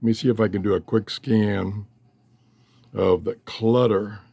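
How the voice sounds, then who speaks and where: A man's voice: lowish voice, steady tone, unhurried, vocal fry, warm tone, breathy edge, no room sound. An older man talking casually in a folksy, rambling way, often interrupting himself as he hosts a hobby vlog. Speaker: host of a shortwave radio hobby channel. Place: cluttered room downstairs at home. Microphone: handheld cell phone.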